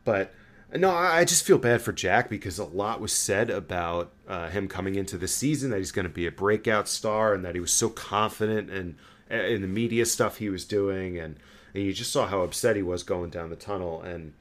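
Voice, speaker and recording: A man with a low-pitched voice hosts a casual sports podcast. He talks in a loose, conversational way, feeling his way through sentences with frequent halts. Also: normal speed, a bit bright. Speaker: podcast host.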